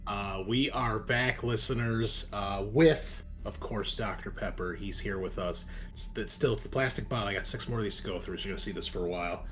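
A man's voice: lowish voice, a little fast, hardly any pauses, a bit bright. A man hosting as game master, chatting casually and explaining the scene to players and listeners in a loose, conversational way.

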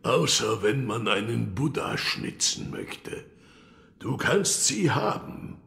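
Old cryptic sculptor, gravelly fry, drawn-out pace, brooding, audio drama. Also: male voice, medium pitch, sing-song, fairly bright tone, heavily acted. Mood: grave, instructive. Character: old wood-carving sculptor, gruff cryptic mentor. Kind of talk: audio drama acting of a video game.

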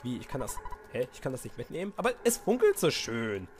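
A man's voice: mid-range voice, brisk, a little hiss or hum. Goofy German gamer man with a cold. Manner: goofy, excitable, swears, jokes at the game. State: has a cold. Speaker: let's player. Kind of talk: game commentary, reading dialogue aloud. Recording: music playing behind voice.

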